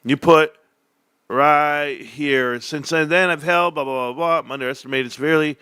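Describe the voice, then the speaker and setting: Man, young adult, combative sarcastic rant, bright voice, dry close mic. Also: a little high, moderate pace, smooth, no echo. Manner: combative, sarcastic, reads messages aloud. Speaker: stock commentary streamer. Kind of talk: heated casual rant.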